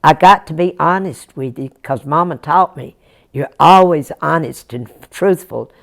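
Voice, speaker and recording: A woman's voice: sits low, unhurried, creaky. Woman doing an earnest farm boy. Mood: earnest, humble. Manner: drawling backwoods talk, humble and polite.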